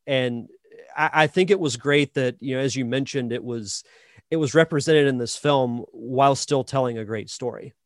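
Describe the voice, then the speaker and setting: Man, podcast interviewer. Mid pitch, dry sound, conversational and chatty, thinks aloud as he goes. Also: normal speed, dry recording.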